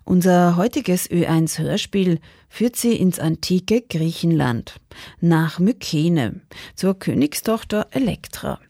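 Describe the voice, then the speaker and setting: A woman presents on radio from a script. Her voice is fairly low and very mellow, with noticeable creak at the edges. The sound is close and dry. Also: animated, slow pace, dry recording.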